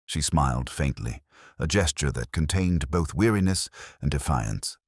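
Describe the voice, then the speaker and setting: A man with an extremely low voice narrates a scripted detective tale. His delivery is measured and brooding, with a literary turn, and his pitch moves expressively. The recording is close and dry. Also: expressive, breathy edge, no room sound. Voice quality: very deep. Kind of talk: scripted first-person detective story narration.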